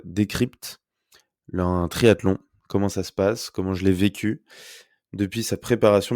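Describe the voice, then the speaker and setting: Stuffy-nosed man, low voice, dry close mic. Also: a little mellow, no room sound. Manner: chatty and upbeat, many fillers. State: congested, talking through his nose; sore throat. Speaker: podcast host. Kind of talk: casual solo podcast talk. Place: at home. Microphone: close podcast mic.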